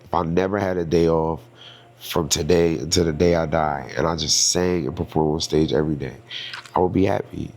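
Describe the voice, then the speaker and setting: A man's voice: rumbling low, fairly even intonation, fairly quick, a little mellow. A man with a stuffy nose hosting his own podcast, talking in a casual, reflective and rambling way with lots of fillers. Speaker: podcast host and singer. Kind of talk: casual solo podcast monologue.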